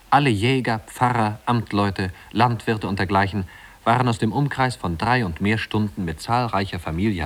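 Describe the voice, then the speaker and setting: A storybook narrator for a radio drama. His fairly low voice tells the tale in a measured, literary way with gentle irony. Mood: measured, descriptive. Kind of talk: scripted narration, 1950s German radio play.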